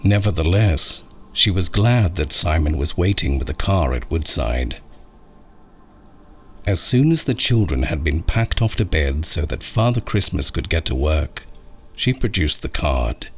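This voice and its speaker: A man narrating a scary short story in a husky voice, with slow, expressive, dramatic delivery. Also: sits low. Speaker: narrator of horror short stories. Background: music under the voice.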